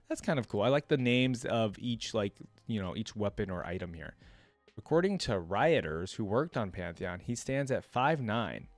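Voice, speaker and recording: A man hosting a reaction video, reading aloud and adding informal asides as he thinks out loud. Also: mid-range voice, dry recording. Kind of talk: casual commentary, reading wiki text aloud with asides.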